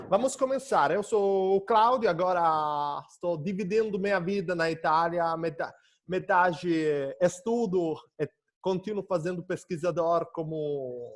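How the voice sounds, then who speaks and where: A young man with an Italian accent giving an academic lecture over a video call, often pausing and searching for words. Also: sits high. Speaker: guest lecturer.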